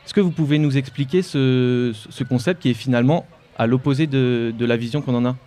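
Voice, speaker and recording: Halting male radio interviewer. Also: mid-range voice, dark tone. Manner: halting, repeats words while forming questions. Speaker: radio co-host.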